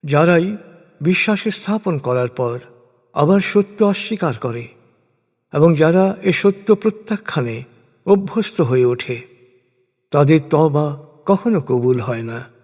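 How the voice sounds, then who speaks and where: A man reading a scripture translation as a solemn, formal scripted narration, with measured and deliberate phrasing. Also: a little high, animated, darkish tone. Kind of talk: solemn scripted religious recitation in prose. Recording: long hall-like reverb.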